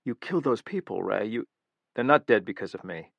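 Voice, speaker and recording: A man's voice: fairly low pitch, expressive, brisk, creaky, no room sound, dull audio. A man voicing a frightened male security chief who pleads with a voice that cracks upward. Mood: pleading.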